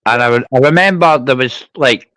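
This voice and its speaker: A man's voice: mid-range voice, normal speed, pushed and bright, dry recording. A man in casual banter, rambling with restarts, swearing and laughing often.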